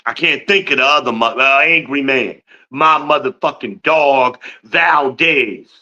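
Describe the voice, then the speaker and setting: A man's voice: mid-range voice, unhurried, bright, forceful tone, lo-fi sound. A man in his fifties from Newark, New Jersey, hosting a podcast livestream with a boastful, blunt, profane rant. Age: 50s. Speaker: podcast host.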